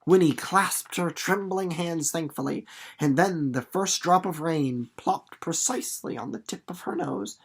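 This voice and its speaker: A man reading a story aloud expressively and explaining it casually in an animated, folksy, playful teacher's manner. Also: fairly high pitch, measured pace, slightly rough.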